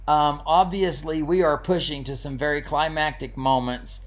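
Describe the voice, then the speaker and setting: A man's voice: fairly high pitch, fairly even intonation, normal speed. A man who is an author, narrating a storybook and giving casual commentary on it.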